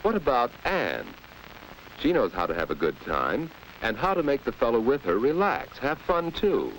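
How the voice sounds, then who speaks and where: A man's voice: mid-range voice, normal speed, poor audio. An American man narrating a 1940s instructional film in a guiding, rhetorical manner. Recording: old film soundtrack with steady hiss.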